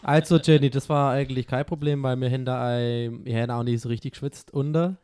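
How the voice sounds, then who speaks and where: A man's voice: medium pitch, steady tone, fast talker, darkish tone, clean tone, dry recording. A man chatting casually in a playful, self-mocking way. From Germany. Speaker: show host answering listener questions. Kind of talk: casual joking group chat.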